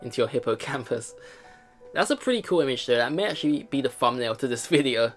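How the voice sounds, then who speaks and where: A man reading a visual novel aloud as a let's play host, with expressive dramatic narration and his own commentary. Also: mid-range voice, quick pace. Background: game music.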